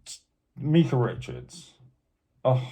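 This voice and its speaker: A man's voice: mid-range voice, wildly swooping pitch, takes it slowly, creaky, warm tone, husky. A Scottish man co-hosting a casual football chat, blunt and teasing in his opinions. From Scotland. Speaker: podcast co-host.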